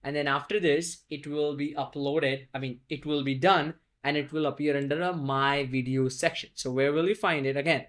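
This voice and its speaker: A man talks through a software tutorial in an easygoing, unscripted way, his voice pitched slightly above the usual male range. He loops back on his phrases and keeps checking in with a quick "right?" as he clicks along, as if recording at his desk. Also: fairly even intonation. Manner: loose, repeats "right?" often, restarts phrases. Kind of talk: casual screen-recorded tutorial.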